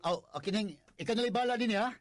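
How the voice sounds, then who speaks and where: A man with a Cebuano accent playing a sly, lustful, deferential guard in a radio drama, stammering and muttering to himself in a husky, breathy voice. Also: sits high, fast talker, lightly acted. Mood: flustered, obliging. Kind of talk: Filipino radio drama acting.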